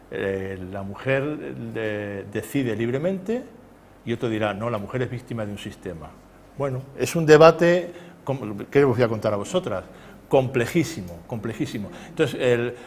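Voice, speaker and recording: Guy lecturing, lots of ehs and restarts, mid voice, bit of hiss. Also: medium pitch. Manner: hesitant, many fillers and restarts. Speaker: conference speaker. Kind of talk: lecture and Q&A answers.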